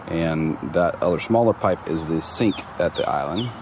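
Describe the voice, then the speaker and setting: Low-voiced guy walking a build site on his phone, laid back, lots of ums, outdoor noise behind him. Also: normal speed. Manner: relaxed, plain-spoken, many ums and restarts. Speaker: homeowner showing his build. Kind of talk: casual walkthrough narration. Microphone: phone camera held while walking. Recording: outdoor phone audio with clear background noise.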